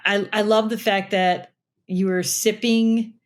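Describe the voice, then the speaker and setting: A woman's voice: mid-range voice, steady tone, slow. A woman hosting an interview, asking casual questions in a chatty way, full of "like" fillers. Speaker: podcast host.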